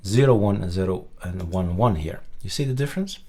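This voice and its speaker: A man presenting a casual screen-recorded software tutorial, haltingly thinking aloud as he goes. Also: low-pitched, lively intonation.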